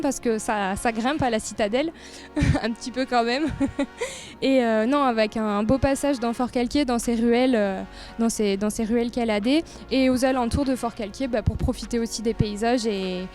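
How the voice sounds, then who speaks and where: High-pitched enthusiastic woman with music behind. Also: darkish tone. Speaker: tourist office representative being interviewed. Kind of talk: informal promotional interview, describing local trails.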